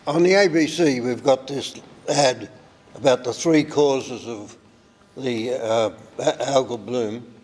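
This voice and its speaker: A man's voice: medium pitch, slow pace, darkish tone. A man in the audience asking a question, hesitant and stumbling over his words. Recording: roving mic in a hall, faint room noise.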